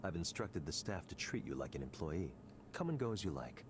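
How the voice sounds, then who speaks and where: An actor playing a smug, calculating tech executive in a video game, speaking in a smooth, condescending, deliberate way. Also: male voice, low-pitched, big pitch swings. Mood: persuasive, calculating. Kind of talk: scripted visual novel dialogue.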